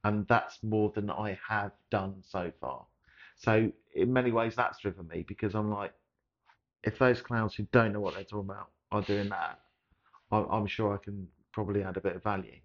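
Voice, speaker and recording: A man from Surrey hosting a podcast, chatting casually in an animated, teasing way and swearing often. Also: lowish voice, normal speed, highs cut off. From Surrey, England. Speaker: podcast host. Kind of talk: casual chat.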